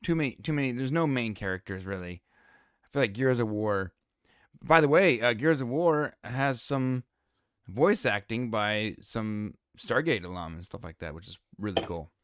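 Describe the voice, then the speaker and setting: A man's voice: mid-range voice, normal speed, clear, resonant tone, dry recording, studio quality. A man co-hosting a casual podcast, joking around and rambling with fillers. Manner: jokey, animated, hesitant with fillers. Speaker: podcast co-host. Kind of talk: casual chat.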